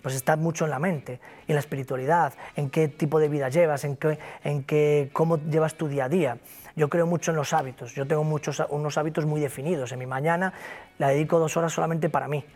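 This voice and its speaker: A man's voice: a little high, steady tone, a little fast, darkish tone, soft background music. A man with a Galician Spanish accent, a guest in an interview, speaking emphatically and repeating himself in a motivational way. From Galicia, Spain. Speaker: interview guest, broker company founder. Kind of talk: interview conversation.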